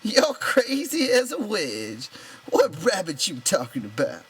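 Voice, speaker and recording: A man's voice: high-pitched, huge pitch swings, measured pace, slight background noise, voice pushed up, full character voice. A man voicing a proud, bitter, lonely stable hand in an audiobook, talking in an insistent, rambling colloquial way. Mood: scornful. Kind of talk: character voice in an audiobook reading.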